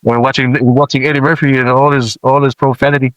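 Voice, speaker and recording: A man in his forties who grew up in Samoa, a rapper guest telling stories in relaxed, casual talk with many fillers. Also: mid-range voice, fast talker, no echo, highs cut off.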